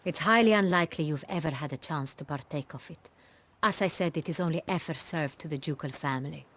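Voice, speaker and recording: An actress playing a proud, strong-willed duchess in a fantasy game, speaking in a formal, commanding, regal manner. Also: female voice, sits low, fairly quick, fairly bright tone. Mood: insistent.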